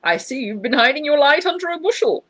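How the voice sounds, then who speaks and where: Woman voicing a booming, fawning male restaurant manager. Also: lively intonation, fast, fairly bright tone, no echo. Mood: booming, flattering. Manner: booming, unctuous, emphatic. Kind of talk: children's storybook read aloud.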